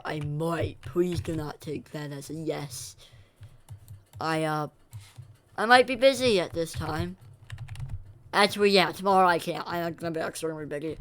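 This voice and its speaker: A man's voice: fairly high pitch, expressive, normal speed, fairly bright tone, a little hiss or hum. A young man streaming a game, chatting casually and rambling to his viewers between reactions. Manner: rambling, self-correcting, talks to chat between game reactions. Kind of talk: casual live-stream chatter while gaming.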